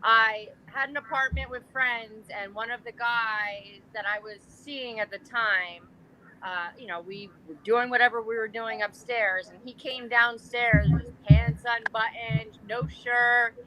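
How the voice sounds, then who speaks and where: A woman's voice: fairly high pitch, fairly even intonation, unhurried, pushed and bright, slight background noise, low-quality recording. A woman in her forties, chatting casually and laughing as she tells a candid personal story. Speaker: guest panelist. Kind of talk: casual chat.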